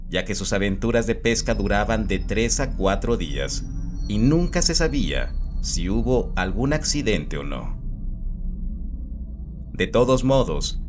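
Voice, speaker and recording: A man calmly reading a scripted first-person horror story, steady and measured. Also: lowish voice. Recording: soft background music.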